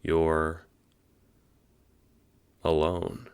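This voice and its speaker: A man's voice: very deep voice, completely flat delivery, drawn-out words, creaky, clear, resonant tone. A man narrating a scripted audio drama in the second person, in storytelling style.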